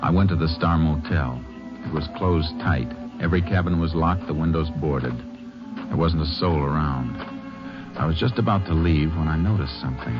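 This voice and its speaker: Deep-voiced, methodical Texas Ranger. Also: male voice. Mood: grave. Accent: American. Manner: clipped, matter-of-fact, deliberate. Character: steady, methodical male Texas Ranger investigator. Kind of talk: 1950s radio drama acting and narration. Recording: dull vintage radio recording, music behind.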